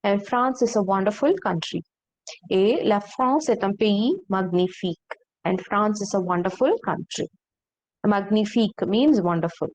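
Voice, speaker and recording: A woman teaching a French class, slowly repeating phrases and checking that students follow. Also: medium pitch, a little mellow, rough recording. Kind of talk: online language class.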